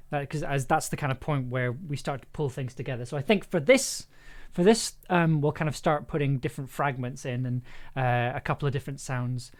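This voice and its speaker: Male audio producer chatting on a livestream, mid-pitched, enthusiastic, loose and rambling. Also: a little mellow. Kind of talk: casual livestream chat.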